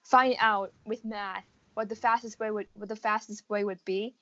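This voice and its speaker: A woman's voice: medium pitch, fairly even intonation, moderate pace, fairly bright tone, no echo. Young American woman, dull lo-fi sound. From United States. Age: around 18 to 19. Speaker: interviewee, college student. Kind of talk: casual interview answers.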